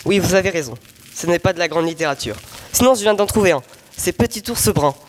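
Teen boy playing an eager village barber, high voice, big echoey room. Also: expressive, quick pace. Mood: brisk. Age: teenager. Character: eager, unlettered village barber, priest's helper. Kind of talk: student audio drama acting. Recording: echoey hall, faint noise.

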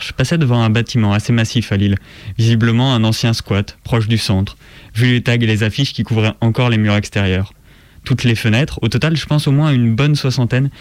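A man's voice: mid-range voice, darkish tone, no room sound. A man presenting scripted political commentary on the radio with a sardonic, critical edge.

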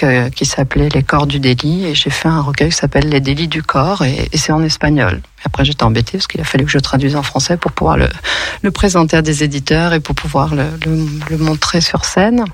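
A woman's voice: low voice, no room sound. A middle-aged woman poet, a radio guest, telling her own story thoughtfully with frequent fillers. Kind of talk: casual radio conversation.

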